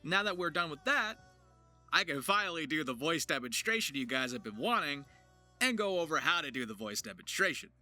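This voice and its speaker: A man presenting a voice acting tutorial to viewers in an animated, enthusiastic explaining manner. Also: a little high, a little fast. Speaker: voice actor, writer and video presenter. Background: music.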